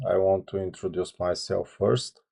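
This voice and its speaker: A man in his thirties with a Bulgarian accent, a software engineer talking to camera without a script, hesitant, with many ums and restarts. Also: low voice, level tone, normal speed, creaky. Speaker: software engineer channel host. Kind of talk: unscripted talk to camera.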